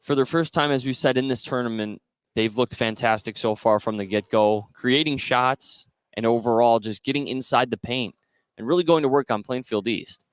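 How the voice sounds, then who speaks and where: A man's voice: mid-range voice, normal speed, clean tone, dry recording. A man doing live basketball radio play-by-play, narrating each play in short phrases. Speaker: play-by-play commentator.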